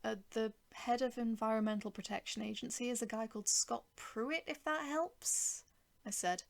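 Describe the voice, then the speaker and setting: A woman's voice: a little high, normal speed, vocal fry. A woman narrating a dramatic first-person short story.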